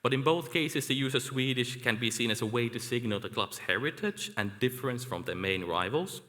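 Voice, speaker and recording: Bright-voiced man lecturing in a hall. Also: mid-range voice, lively intonation. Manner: measured, lecturing with filler "like". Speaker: academic speaker. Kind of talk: conference presentation. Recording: echoey hall.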